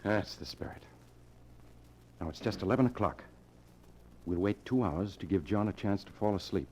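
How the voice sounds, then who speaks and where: An actor playing a cold, controlling younger man who plots murder, in the deliberate, insistent style of 1940s radio drama. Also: sits low, expressive, a little mellow, slight background noise. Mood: firm, commanding. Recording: dull old radio broadcast recording.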